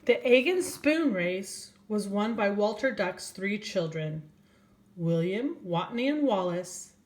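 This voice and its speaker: A woman's voice: mid-range voice, lively intonation, darkish tone. An older woman, a grandmother, reading a children's storybook aloud and speaking directly to young children. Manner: slow, addressing young children, asking them questions.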